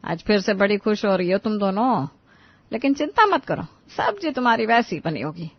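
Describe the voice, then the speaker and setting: A woman voicing a sarcastic older mother-in-law who scolds and grumbles in an animated family story. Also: mid-range voice, moderate pace, slightly rough, dull audio. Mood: grumbling, scolding. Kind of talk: animated moral-story dialogue.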